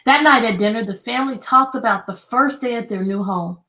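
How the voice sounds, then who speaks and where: Higher-pitched woman reading to children. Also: very noisy. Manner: expressive, deliberate, prompting young listeners. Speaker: teacher reading a picture book aloud. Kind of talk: children's read-aloud with questions.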